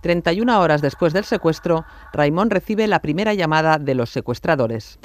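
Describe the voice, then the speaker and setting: A man's voice: on the high side, lively intonation, fairly quick. A man narrating a scripted true-crime documentary.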